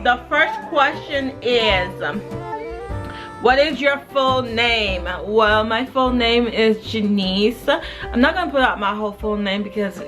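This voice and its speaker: A young woman from Jamaica, chatting casually through a Q and A vlog in a laid-back way, full of fillers. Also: on the high side. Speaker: vlogger.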